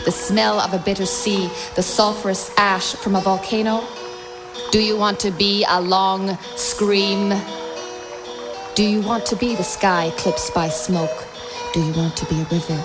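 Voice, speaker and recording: A woman recites spoken-word poetry in a slow, measured, almost incantatory cadence. Her voice sits at an ordinary middle pitch. A clear music soundscape plays underneath her reading. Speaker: poetry reader.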